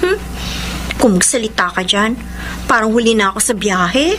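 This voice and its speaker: A woman's voice: mid-range voice, lively intonation, normal speed, noisy background, close to an everyday voice. An actress playing an anxious, lovestruck young woman in a Filipino radio drama, speaking emotionally and hesitantly with a Filipino accent. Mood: teasingly defensive. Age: young adult. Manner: emotional, hesitant, Tagalog-English mixing. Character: lovestruck, anxious 21-year-old woman confessing to her best friend.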